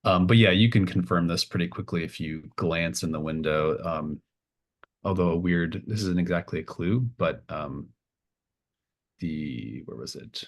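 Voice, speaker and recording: A man running a tabletop game as game master, improvising narration in a halting way, thinking aloud mid-sentence. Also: sits low.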